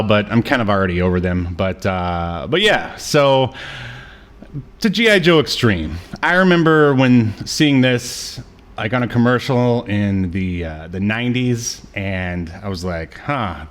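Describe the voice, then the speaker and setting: A man's voice: on the low side, lively intonation, unhurried, a bit bright. A man co-hosting a podcast, chatting casually about media with wry, joking asides. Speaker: podcast co-host. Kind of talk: casual media review chat.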